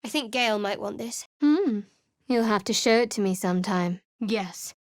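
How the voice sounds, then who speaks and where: A woman's voice: medium pitch, expressive, moderate pace, dry recording, close to an everyday voice. An actress voicing a guarded, sardonic young woman cleric in a video game, speaking in a dry, cool manner. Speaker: game character. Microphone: studio mic.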